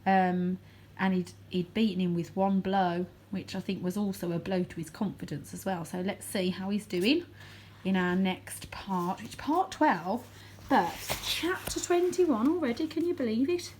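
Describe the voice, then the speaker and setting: A woman's voice: mid-range voice, unhurried, a little mellow, slight background noise. Woman reading a children's story expressively. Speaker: storybook reader. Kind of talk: expressive children's book narration.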